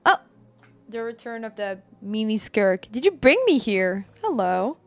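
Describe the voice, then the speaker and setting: A woman's voice: on the high side, very animated, a little slow. A woman game streamer chatting casually and commenting live on her play. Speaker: game livestreamer.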